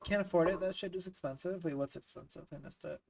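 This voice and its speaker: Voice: medium pitch, fast, creaky, a little mellow, no echo, recorded from a distance. A streamer getting over a cold and sounding sniffly, chatting casually with viewers during a live game stream, swearing freely and laughing often. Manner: profane, joking, laughs often, reads chat aloud.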